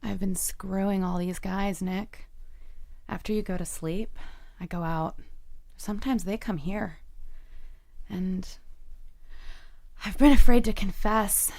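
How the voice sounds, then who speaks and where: Creaky-voiced troubled young woman. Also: fairly low pitch, fairly even intonation, measured pace, pauses often, darkish tone. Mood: defiant, confessional. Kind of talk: dramatic audio drama acting.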